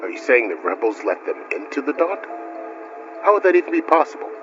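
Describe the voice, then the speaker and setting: An actor playing a weary but helpful frontier officer in a video game, explaining things gravely and steadily. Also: male voice, medium pitch, very animated, brisk, natural voice. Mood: questioning, helpful. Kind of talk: naturalistic game dialogue. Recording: dull game audio with music.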